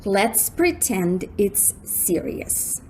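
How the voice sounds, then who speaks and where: A woman acting an excitable, thrill-seeking, easily frightened young woman in a melodramatic, girlish radio drama style. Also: mid-range voice, extremely slow, a little hiss or hum, rough recording. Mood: playful, thrilled.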